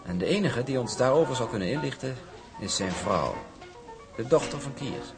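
A man acting as a smug, charming schemer from a wealthy shipping family in a radio drama. His delivery is lively, urbane and ironic, and music plays behind him. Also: mid-range voice, normal speed, close to an everyday voice. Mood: smug, calculating.

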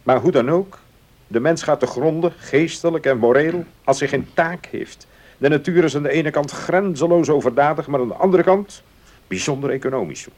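An actor playing an opinionated gentleman baron in a radio play, arguing earnestly in a declamatory way. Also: a little high, natural voice. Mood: earnest, lecturing. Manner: declamatory, deliberate argument. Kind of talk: Dutch radio play acting.

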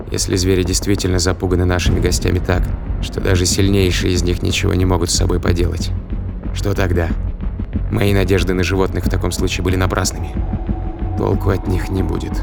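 A man playing a sardonic, impatient spaceship captain, gruff and muttering, in a sci-fi audio drama. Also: sits low, level tone, fairly quick. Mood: worried musing. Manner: muttering to himself, sarcastic, gruff commands. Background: dramatic music and effects.

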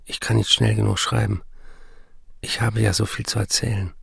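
An actor playing a restless, addicted male writer who narrates his life and letters in a literary radio drama, his voice gravelly. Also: on the low side, flat delivery, moderate pace, no echo, studio quality, exaggerated voice. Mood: driven, urgent.

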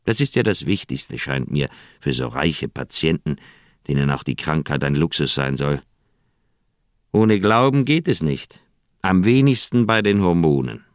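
An actor playing a conscience-stricken old doctor in a German radio crime drama, speaking in an earnest, heavy, troubled manner. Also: male voice, extremely low pitch, big pitch swings, a little slow, no room sound, clean studio sound, full character voice. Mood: uneasy, explaining. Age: older.

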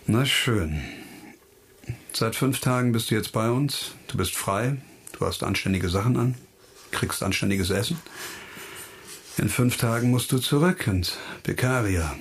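A man acting an earnest, persistent police investigator in a radio crime drama, speaking in a measured, persuasive way. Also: mid-range voice, breathy edge. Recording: studio radio drama, music underneath.

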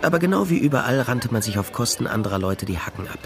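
A hard-boiled private eye from a radio crime play. His voice has a gravelly creak at an ordinary male pitch, and he speaks in a dry, laconic, sardonic manner. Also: big pitch swings, quick pace. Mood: wry, sardonic. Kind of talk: radio crime drama, first-person narration and dialogue.

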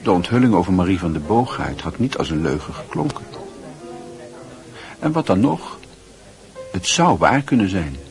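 Male narrator, low pitch, literary storytelling delivery, faint music behind. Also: big pitch swings, normal speed, pauses often.

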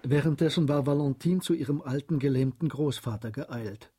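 A man's voice: mid-range voice, normal speed, dark tone, slight background noise. A man reading scripted literary narration as the narrator of a radio drama.

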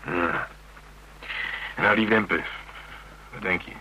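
An actor with a Netherlands Dutch accent playing a gruff, pragmatic older man in a radio drama, terse and dry. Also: low-pitched, slow pace, bright, forceful tone, exaggerated voice. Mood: matter-of-fact. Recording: noisy, humming radio play recording.

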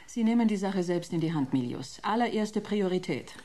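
A woman's voice: medium pitch, expressive, moderate pace, mellow, close to an everyday voice. An actress playing an icy, commanding female executive in a radio play, giving curt, dismissive orders. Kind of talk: German radio play acting.

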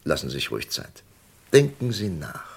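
An actor playing a calm, shrewd FBI investigator in a vintage radio crime drama, asking patient, probing questions. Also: male voice, rumbling low, normal speed, creaky, slightly rough, exaggerated voice. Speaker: detective in a radio crime play.

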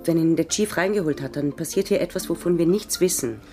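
A woman's voice: low voice, a little fast, dark tone, soft background music, natural voice. An actress playing a cool, teasing, shrewd female spy in a German radio play. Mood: composed, firm.

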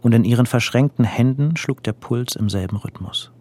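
An actor playing a calm, intimate storyteller, reading literary narration in a radio drama. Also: male voice, mid-range voice, moderate pace, close to an everyday voice.

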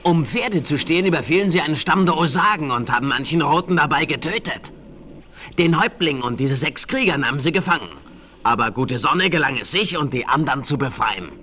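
A man playing a small, excitable, friendly frontier scout in a 1960s German radio play, eagerly telling his news. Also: fairly high pitch, fairly quick. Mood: agitated report. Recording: slightly noisy old vinyl.

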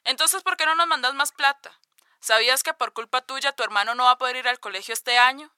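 A bitter mother in a radio serial, with a very bright, cutting voice. It is crisp and dry, full of sarcasm and reproach. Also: high voice, a little fast, no room sound. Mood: accusing, sarcastic. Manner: sarcastic, accusatory. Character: bitter, reproachful mother, guilt-tripping and cold. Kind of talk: radio drama acting.

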